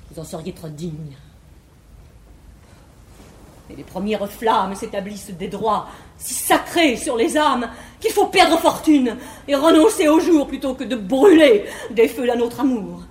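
An actress playing a haughty, jealous, prudish young bluestocking in classical French verse comedy, speaking in an affected, declamatory way. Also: female voice, medium pitch, animated, moderate pace, a little mellow, lightly acted. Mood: wounded, lofty. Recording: echoey 1950s theatre recording, faint noise.

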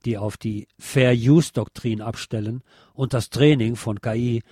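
A man narrating a scripted journalistic essay in a measured, formal read-aloud delivery. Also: medium pitch, fairly even intonation, warm tone, dry recording, clean studio sound.

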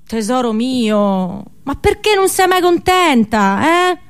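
Woman playing a singsong nagging mother, pitch swooping upward. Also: sing-song, takes it slowly, clean tone, full character voice. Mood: coaxing, exasperated. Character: coaxing, exasperated mother nagging her unhappy daughter.

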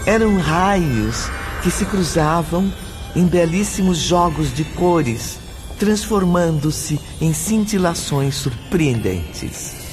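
A solemn male narrator in a radio drama. He speaks slowly and poetically in a somewhat high voice, with clear music underneath. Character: solemn narrator recalling wonders of the afterlife.

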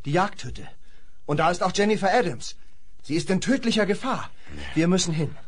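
An actor playing a plucky, eager young Western hero in a children's radio play, earnest and urgent, on an old vinyl recording. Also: male voice, high voice, expressive, normal speed, a little hiss or hum. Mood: determined. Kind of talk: 1970s German children's radio play acting.

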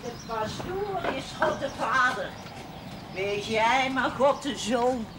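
An actress playing a chatty, plain-spoken old spinster smallholder in a television period drama, folksy and wry. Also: female voice, on the high side, lively intonation, takes it slowly. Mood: earnest, wry. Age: older. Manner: folksy, blunt, wry, talkative. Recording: noisy old TV soundtrack with farmyard birds.

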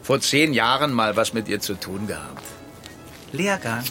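A man's voice: on the low side, expressive, measured pace, over loud music. An actor playing a glib, womanizing, joking gym-type detective in a radio drama. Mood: casual. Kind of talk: German radio drama acting.

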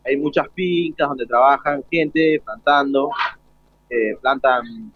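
A man's voice: mid-range voice, slow pace, no room sound, lo-fi sound. A young man with an Argentine accent chatting casually as a guest in a live interview. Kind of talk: casual chat.

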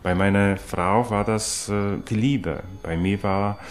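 A middle-aged man giving a reflective personal account as an interviewee, in a halting, pensive way. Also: lowish voice, steady tone, slight background noise.

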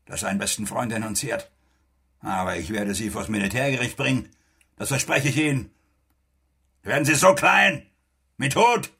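An actor playing a stern, threatening middle-aged army major in a German radio play, with a biting, insulting manner. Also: male voice, mid-range voice, moderate pace, creaky, long pauses, pushed and bright, slightly rough, full character voice. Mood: furious.

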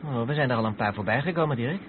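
A man's voice: medium pitch, rapid-fire, lightly acted. An actor playing a curious, rather naive co-driver who questions his companion in a radio drama. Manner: inquisitive. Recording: tinny narrow-band radio recording with vehicle noise.

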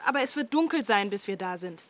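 A woman's voice: mid-range voice, lively intonation, quick pace, fairly bright tone, lightly acted. An actress playing an anxious young woman in an audio drama, questioning and insistent. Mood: worried. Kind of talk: German audio drama acting.

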